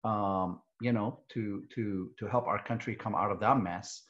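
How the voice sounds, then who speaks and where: A man's voice: fairly low pitch, fairly even intonation, unhurried, a bit bright. A man in his forties from Syria, a guest on a webinar, talking casually from his living room with joking asides and frequent restarts. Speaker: guest, CEO of a civic organization. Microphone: earbud headset on a video call. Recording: dull, lo-fi video call audio.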